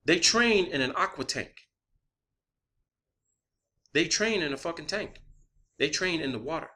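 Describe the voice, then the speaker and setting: Bright, forceful, higher-pitched man ranting. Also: lively intonation, unhurried. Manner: emphatic, insistent, swears often; rhetorical questions and repetition for emphasis. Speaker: online video presenter. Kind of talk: unscripted conspiracy theory monologue.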